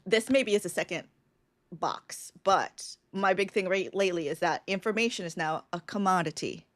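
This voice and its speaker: A woman's voice: lowish voice, normal speed, fairly bright tone. A woman co-hosting a podcast, thinking aloud casually with many fillers. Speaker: podcast co-host. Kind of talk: casual live podcast brainstorm.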